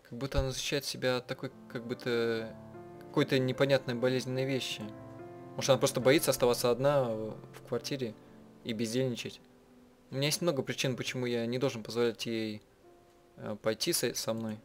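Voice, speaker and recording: Man, casual game read-along, halting and self-correcting, middle pitch, soft music behind. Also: steady tone. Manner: halting, often rephrasing mid-sentence. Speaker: game player translating and voicing a visual novel. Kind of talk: casual read-aloud translation with commentary. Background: game soundtrack music.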